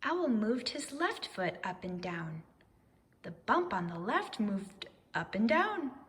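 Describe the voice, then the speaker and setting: A woman reading a children's story aloud in expressive narration, with deliberate, dramatic phrasing. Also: mid-range voice, in a large hall, slight background noise. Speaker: storybook reader.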